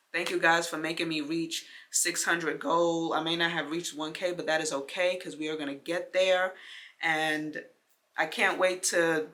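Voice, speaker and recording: A woman in her late twenties chatting straight to her viewers, rambling and heartfelt, with plenty of likes and you-knows. Her voice is bright and clear, and soft guitar plays faintly underneath. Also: low-pitched. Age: 20s. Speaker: vlogger. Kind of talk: casual talk to camera.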